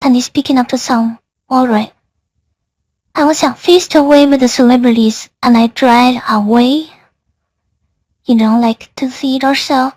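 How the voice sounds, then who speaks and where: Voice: high voice, fairly even intonation, measured pace, pauses often, a little mellow, no echo. A reviewer's voice turned into a woman's by an AI voice changer, giving a casual product demonstration. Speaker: software reviewer.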